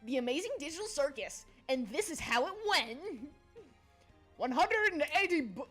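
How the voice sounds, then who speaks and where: A woman's voice: high voice, big pitch swings, a little slow, a bit bright, soft background music. A young adult woman streaming, chatting casually with viewers, giggly and scatterbrained, jumping between topics. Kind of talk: casual livestream chat.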